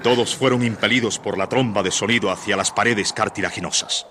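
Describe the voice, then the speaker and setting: A man's voice: fairly low pitch, fairly quick. Male suspense narrator, noisy recording. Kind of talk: dramatic scripted narration for suspense radio theatre.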